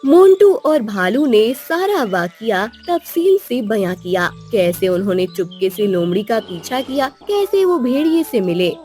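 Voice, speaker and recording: A woman narrating a scripted children's story in an expressive storytelling manner. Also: fairly high pitch, unhurried, few pauses, faint music behind.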